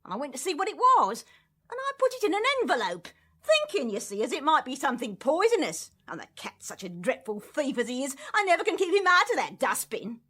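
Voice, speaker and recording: A woman's voice: high-pitched, sing-song, brisk, pushed and bright, full character voice. A woman playing an indignant, nosy working-class English landlady who bosses and scolds garrulously in a radio drama. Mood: self-righteous, gossipy. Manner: scolding, garrulous, self-righteous.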